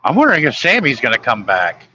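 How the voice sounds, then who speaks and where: A man's voice: medium pitch, wildly swooping pitch, fast talker, sharp tone, slight background noise. A man chatting casually late at night on a live stream, blunt and griping, swearing freely.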